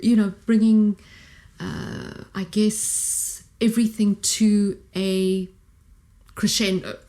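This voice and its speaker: A woman's voice: medium pitch, level tone, slow, mellow. A South African woman co-hosting a podcast, asking a long, searching question with hesitant phrasing in a studio. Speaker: podcast co-host. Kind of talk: interview questions. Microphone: studio condenser mic with headphones.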